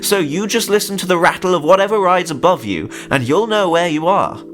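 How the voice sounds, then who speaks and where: A man's voice: high voice, animated, a little fast, a bit bright, soft background music, pitched above the performer's own voice. A man voicing a beaming, over-friendly male theme park manager in an audio story, folksy and patronizing with a hint of menace. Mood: jovial, breezy.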